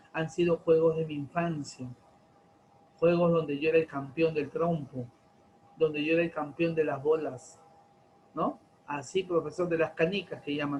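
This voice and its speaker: A man, lecturing teenagers in class, slow and deliberate, stretching key terms and asking many rhetorical questions. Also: a little high, lo-fi sound, a little hiss or hum. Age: 40s. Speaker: teacher.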